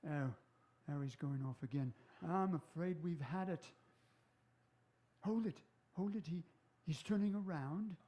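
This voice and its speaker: Mellow, commanding police inspector. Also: male voice, high voice. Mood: tense, watchful. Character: shrewd, commanding male police inspector on a stakeout. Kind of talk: stage radio drama acting.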